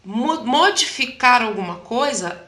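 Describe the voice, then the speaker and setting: A woman, a health practitioner, explaining things in an animated interview chat and often acting out quoted voices. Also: a little high, a little slow, roomy sound.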